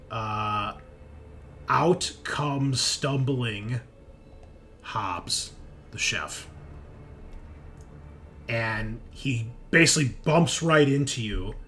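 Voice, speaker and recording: A man narrating a tabletop horror game as game master, improvising in halting, suspenseful phrases. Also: mid-range voice, fairly bright tone, slight background noise.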